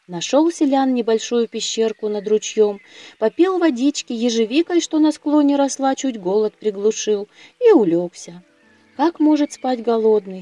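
A woman storyteller narrating a scripted audio fairy tale in a measured, expressive manner. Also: fairly high pitch.

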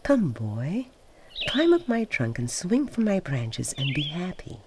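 A woman voicing a gentle, loving female tree in a storybook, speaking softly and tenderly. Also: low-pitched, big pitch swings, unhurried, background noise. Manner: tender, pleading, soft. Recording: outdoors with birds and insects.

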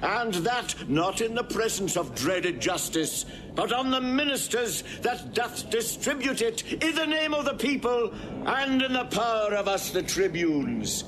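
An actor playing a stern, accusing Roman tribune, proclaiming deliberately in classical Shakespearean drama. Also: male voice, high voice, animated. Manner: declamatory, deliberate, public proclamation. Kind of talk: classical Shakespearean stage acting. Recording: noisy old recording with crowd.

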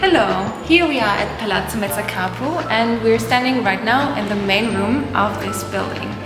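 A woman's voice: mid-range voice, moderate pace, loud music behind the voice. Woman guiding in an echoey hall. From Germany. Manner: explaining, showing around. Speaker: volunteer guide. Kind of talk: casual video tour. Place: large frescoed palace hall, indoors.

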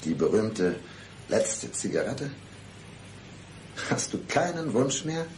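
An actor playing a calm, cold-blooded would-be killer in a radio play, measured, deliberate and coolly menacing. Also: male voice, fairly low pitch, slight background noise.

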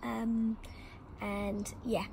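A woman from the UK who has lost her voice, talking hoarsely and often in a whisper. She chats in a rambling, casual way with many ums. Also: on the high side, flat delivery, drawn-out words, noisy background. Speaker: motorbike vlogger. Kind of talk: casual product unboxing. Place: outdoor garden table.

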